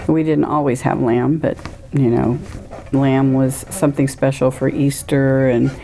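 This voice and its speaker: Low, gravelly-voiced woman reminiscing. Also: warm tone, slight background noise. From Weirton, West Virginia. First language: Greek. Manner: unhurried, with frequent fillers and "you know". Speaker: oral history interviewee. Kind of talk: relaxed personal recollection.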